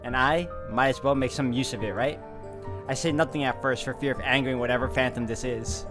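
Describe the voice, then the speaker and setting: A man's voice: medium pitch, steady tone, a little fast, music in the background. A man hosting a game playthrough, reading the narration dramatically and dropping in chatty asides. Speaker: video game playthrough host. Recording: clearly noisy background.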